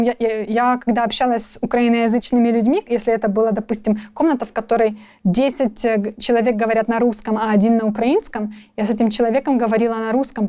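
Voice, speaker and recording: A young woman from Ukraine answering interview questions, her voice pitched a little high but mellow and soft in tone. She talks thoughtfully, pausing often, repeating words and restarting her sentences as she works through her answers. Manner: thoughtful, with frequent restarts and hesitations. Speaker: interview guest. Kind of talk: conversational interview.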